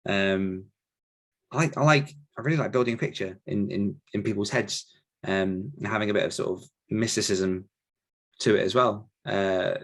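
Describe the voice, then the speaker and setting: A man with a fairly low voice talks in a relaxed interview setting. He is reflective about his craft, stopping and restarting as he feels his way toward the right phrase. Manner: thoughtful, halting, searching for words. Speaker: podcast guest author answering questions. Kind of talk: casual reflective interview talk.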